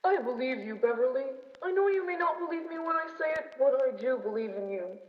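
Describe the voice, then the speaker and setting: Radio melodrama spoof: devoted, excitable friend, very high voice, roomy stage sound. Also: female voice, brisk. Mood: earnest. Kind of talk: melodramatic old-time radio drama parody. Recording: echoey hall, dull stage recording.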